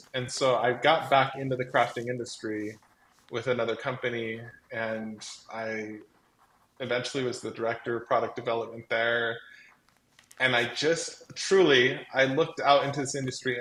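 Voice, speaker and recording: A man with a carrying, mid-pitched voice talks in a chatty, effusive way, telling his story casually as a guest. His words are loosely strung with "like". The room adds echo, and there is faint noise behind him. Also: fairly bright tone. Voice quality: voice that carries. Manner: chatty, effusive, peppers talk with "like". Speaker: guest, crafting company co-founder. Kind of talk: casual live chat, personal storytelling.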